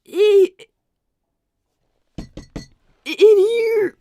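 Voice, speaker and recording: A woman's voice: very high voice, very slow, mellow, heavily acted. A woman voicing an anxious, earnest young boy fox who stammers defensively on a character livestream. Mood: flustered, defensive. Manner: stammering, flustered. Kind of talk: improvised character livestream with donation reading.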